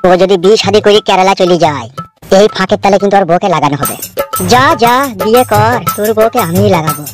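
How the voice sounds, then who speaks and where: Man voicing a sly, high-pitched village schemer. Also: quick pace, music in the background, natural voice. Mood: sly, gloating. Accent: rural West Bengal. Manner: scheming, boastful. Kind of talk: comic cartoon dialogue.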